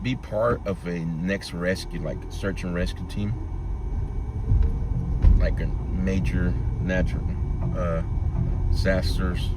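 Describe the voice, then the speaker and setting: A man's voice: low-pitched, very noisy. A man hosting a live stream, rambling in easygoing casual chat and talking to viewers by name.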